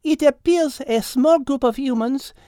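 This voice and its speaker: A woman voicing a thoughtful, logical female cat with a French accent, speaking in a measured, precise way in an audiobook. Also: high-pitched, dark tone, dry recording, studio quality. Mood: calm, reassuring. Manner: measured, precise, reasoning aloud. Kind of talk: audiobook character acting.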